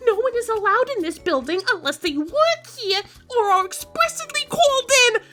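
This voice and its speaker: A woman's voice: very high voice, expressive, normal speed, bright, forceful tone, faint music behind, full character voice. A woman playing a bossy, brash, complaining receptionist at a cosmic office in a comic sci-fi audio drama. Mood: indignant, bossy.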